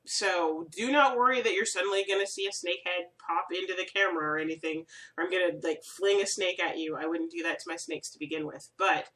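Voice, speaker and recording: A woman hosts a relaxed crafting vlog from home, her nose a bit stuffy from a cold. She sounds bright and chatty, rambling with ums and asides. The mic sits at a slight distance from her. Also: on the low side. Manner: rambling, friendly, lots of ums. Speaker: crafting video host. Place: room at home.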